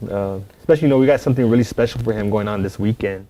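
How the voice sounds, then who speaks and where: A man's voice: fairly low pitch, rapid-fire, mellow, low-quality recording. A man from San Antonio, chatting as a guest on a podcast and rambling with many "you know" fillers. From San Antonio, Texas. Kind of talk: casual podcast chat.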